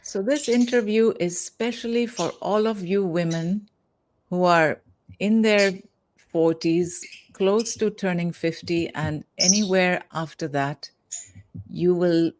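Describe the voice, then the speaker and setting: A woman with a somewhat low voice hosts an interview at an unhurried pace. She frames her questions in an encouraging way. Her delivery is measured and steady. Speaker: interview host. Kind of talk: scripted interview introduction and questions.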